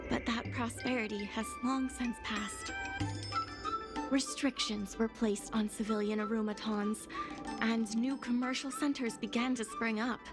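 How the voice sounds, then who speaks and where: An actress playing an earnest, worried young female guild secretary in a video game, speaking in a polite, measured, articulate way. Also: on the high side, lightly acted. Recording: music playing behind the voice.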